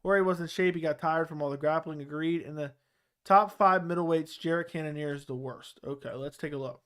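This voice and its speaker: A man's voice: fairly high pitch, fairly quick, fairly bright tone, clear, resonant tone. A young man with a Baltimore accent, hosting a live chat and answering viewer comments in a loose, rambling way. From Baltimore area. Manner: loose, rambling, with fillers and restarts. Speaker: host of a live chat show.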